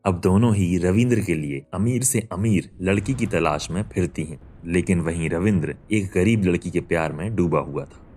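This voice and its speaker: Deep-voiced male story narrator. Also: moderate pace, few pauses, a little mellow. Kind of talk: scripted cartoon story narration.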